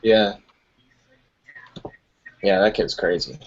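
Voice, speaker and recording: A man's voice: low-pitched, slow. Laid-back young man, dull lo-fi audio. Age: 20s. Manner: laid-back, profane, laughs often. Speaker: music producer guest. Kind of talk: casual podcast chat.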